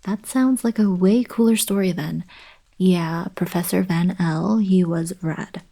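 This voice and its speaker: A young woman playing a friendly, eager alien princess in an ASMR roleplay, soft and whispery, with casual girlish coaxing. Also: lowish voice, measured pace, vocal fry. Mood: playful pleading. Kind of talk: ASMR roleplay, intimate acted monologue.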